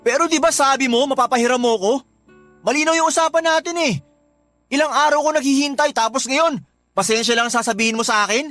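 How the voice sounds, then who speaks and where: A smooth-talking young suitor with a fairly high voice, charming on the surface but pushy and demanding. Faint music plays behind him in this radio drama. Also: male voice, quick pace, sharp tone, no room sound. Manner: charming at first, then demanding. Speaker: suitor Dennis.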